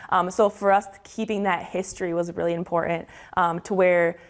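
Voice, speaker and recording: A woman's voice: on the low side, flat delivery, normal speed. A woman in her thirties being interviewed, speaking earnestly with some ums, on a lapel mic. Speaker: marketing director interviewed. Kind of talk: news interview.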